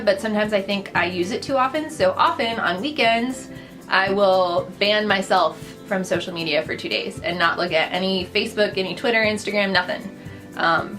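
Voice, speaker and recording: A young woman who grew up in the Netherlands, with Dutch as her first language, chattily listing facts about herself in a casual vlog, upbeat and full of fillers and asides. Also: mid-range voice. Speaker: vlogger. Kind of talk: casual list of personal facts to camera. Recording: with clear background music.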